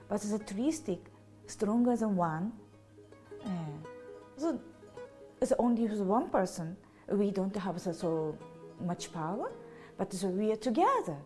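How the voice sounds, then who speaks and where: Slow, halting Japanese-accented woman. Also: mid-range voice, dark tone. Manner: halting, simple non-native phrasing. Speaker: language teacher being interviewed. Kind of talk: unscripted interview answers. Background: guitar music.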